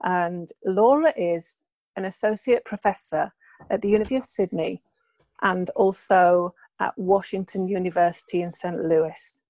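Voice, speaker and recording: A woman's voice: on the low side, normal speed, no echo. A woman hosting a podcast, introducing and interviewing her guest with eager enthusiasm. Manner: enthusiastic, warm thanks. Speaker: podcast host.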